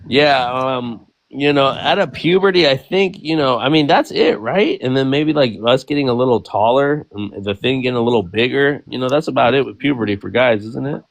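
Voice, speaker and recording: A man in his late thirties with a gravelly, fry-laden voice, chatting in a relaxed, profane way. He keeps checking in with his co-host as he talks. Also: mid-range voice, normal speed, few pauses, no echo. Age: 30s. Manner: laid-back, frank and profane, peppered with "you feel me". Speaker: podcast co-host. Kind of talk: casual chat.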